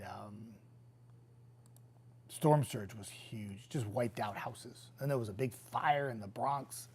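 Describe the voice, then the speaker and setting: A man's voice: medium pitch, husky. A young man, a TV weatherman who says he is getting sick and losing his voice, chatting casually with viewers and reading their comments. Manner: chatty, easygoing, trailing off into quiet asides. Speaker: TV meteorologist hosting a viewer chat.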